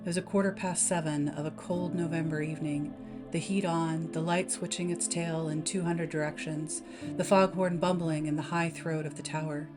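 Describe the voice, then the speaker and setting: A woman reading a dark bedtime story aloud as a narrator. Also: deep voice, steady tone, normal speed. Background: background music.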